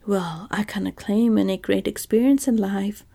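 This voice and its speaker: A living wooden sawhorse, blunt and quietly sure of himself, voiced by a woman whispering. The sound is dark, husky and slightly gravelly. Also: medium pitch, moderate pace. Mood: confident. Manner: soft whispered delivery. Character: awkward living wooden sawhorse, male, frank and confident.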